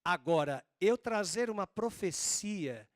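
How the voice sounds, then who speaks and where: A man preaching a sermon as pastor, with a drawn-out Northeastern Brazilian accent and slow, emphatic stresses. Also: sits high, animated, no echo, clean studio sound. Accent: Northeastern Brazilian Portuguese.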